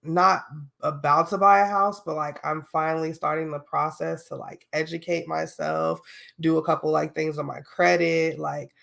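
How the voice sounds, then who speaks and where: A woman's voice: sits low, fairly even intonation, normal speed, gravelly, fairly bright tone. A young adult woman hosting a solo podcast, talking casually and candidly with lots of fillers and slang, a little sniffly from a cold. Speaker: podcast host.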